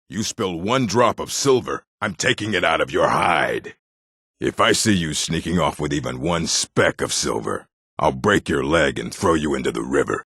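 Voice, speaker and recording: A man voicing a gruff, proud orc warrior and smith in a fantasy video game, blunt and stern, with a gravelly, husky voice. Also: very deep voice, fairly bright tone, dry recording, clean studio sound, full character voice. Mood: menacing, scornful. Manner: blunt, stern, clipped phrasing.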